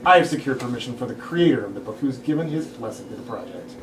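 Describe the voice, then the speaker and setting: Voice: mid-range voice, animated, fast talker, close to an everyday voice. Pushy, echoey amateur filmmaker, acted at a table read. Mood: boastful. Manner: persuasive salesman-like patter. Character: eager, pushy amateur filmmaker pitching and pleading. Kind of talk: table read of a comedy script. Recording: roomy distant mic, clear background noise.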